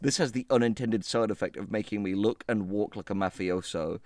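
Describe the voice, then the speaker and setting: A man's voice: on the low side, brisk, a little mellow, dry recording. A man co-hosting a podcast with casual comedic banter and reading aloud, joking and irreverent. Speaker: podcast co-host.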